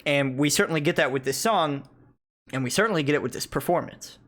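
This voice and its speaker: A man, a native English speaker, giving casual, analytical reaction commentary on music and thinking aloud in a halting way. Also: fairly high pitch, fairly bright tone. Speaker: music reaction video host.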